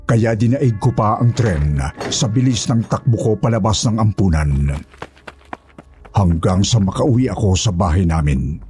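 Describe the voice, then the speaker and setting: A man's voice: on the low side, darkish tone, breathy edge, heavily acted. A man playing a humble former houseboy, narrating a horror story in the first person with an earnest, polite manner.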